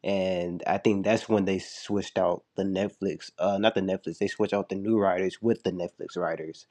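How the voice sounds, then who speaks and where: Deep-voiced young man chatting loosely. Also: fairly even intonation, moderate pace, a little mellow. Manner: loose and chatty, full of "like" and restarts. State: a little sick. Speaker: show reviewer. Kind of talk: casual spoken review.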